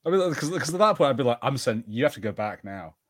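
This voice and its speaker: A man co-hosting a casual film podcast, explaining in halting bursts and acting out mock quotes. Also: medium pitch, sing-song, no echo, clean studio sound. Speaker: podcast co-host. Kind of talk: casual film watch-party banter.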